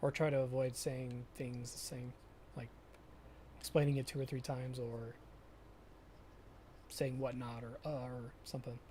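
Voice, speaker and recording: A young man chatting casually with viewers during a live stream of a farming game, often pausing and restarting his sentences. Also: medium pitch, little pitch movement, warm tone, slight background noise. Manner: halting, self-correcting, chatty with viewers.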